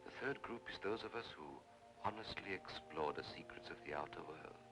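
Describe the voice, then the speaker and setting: An actor playing a smooth, controlling spiritualist medium, speaking in measured, theatrical phrases in a mid-century film noir style. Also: male voice, low voice, expressive. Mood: smooth, persuasive. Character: smooth, solemn male spiritualist medium, softly persuasive and controlling. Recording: old film soundtrack, noisy background hiss.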